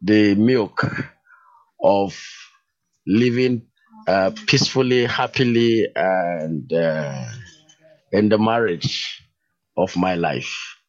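A man from northern Nigeria with a Nigerian accent, a native Hausa speaker, calling in to a radio show and talking with many fillers. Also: fairly low pitch. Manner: unhurried, hesitant, with fillers and laughs. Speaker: radio caller. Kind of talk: phone-in call. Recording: muffled phone-in line.

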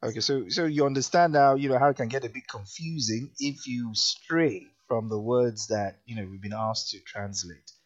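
A man's voice: medium pitch, normal speed, hardly any pauses, muffled recording. A man with a Nigerian accent hosting a radio show in casual on-air chat. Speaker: radio host.

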